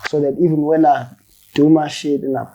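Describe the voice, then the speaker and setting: Relaxed slangy rapper man, mellow voice. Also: on the high side, fairly quick, muffled recording, a little hiss or hum. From South Africa. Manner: loose, slangy, swears freely, laughs while telling stories. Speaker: rapper, interview guest. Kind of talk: casual interview chat.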